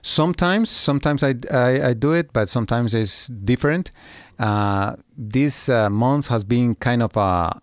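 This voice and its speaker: Soft-spoken pastor, halting and dry. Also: male voice, medium pitch, dry recording. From Guatemala. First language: Spanish. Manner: halting, many "uh" pauses, short agreeing replies. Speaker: pastor of a Spanish-language service. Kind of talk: podcast interview guest.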